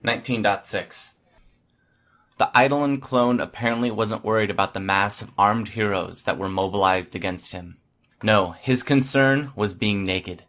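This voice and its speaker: A man's voice: fairly low pitch, moderate pace, a bit bright. A man reading scripted first-person fiction as an audiobook narrator.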